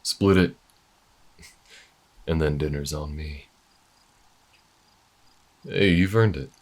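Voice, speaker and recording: A man's voice: a bass voice, takes it slowly, heavily acted. A man playing an easygoing, good-hearted bounty hunter in a space frontier audio roleplay, talking in a folksy, reassuring drawl. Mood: warm, upbeat.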